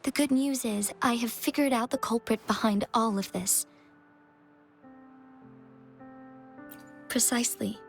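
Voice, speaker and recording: A woman's voice: a little high, moderate pace, vocal fry, faint music behind. An actress playing a composed, gracious young female magistrate in a video game, speaking politely and formally. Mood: grave.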